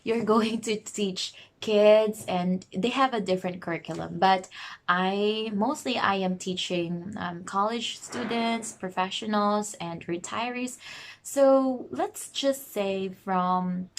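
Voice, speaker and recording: Chatty Filipina online English teacher. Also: female voice, mid-range voice, slow pace, a little hiss or hum. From Philippines. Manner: chatty, laughs often, self-correcting. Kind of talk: casual explainer vlog.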